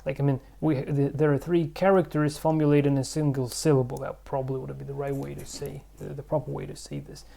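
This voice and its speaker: A man's voice: mid-range voice, level tone, a little fast, vocal fry, hardly any pauses, mellow. A man hosting his own channel, rambling and thinking aloud in a casual explanatory vlog, with many fillers. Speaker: channel host.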